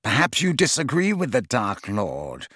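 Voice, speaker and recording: Man playing Snape, smooth, disdainful double agent. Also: mid-range voice, wildly swooping pitch, fairly bright tone, no room sound, studio quality, heavily acted. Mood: smooth, cutting. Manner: deliberate, smooth, impatient, sarcastic. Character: cold, smooth, condescending male double-agent wizard.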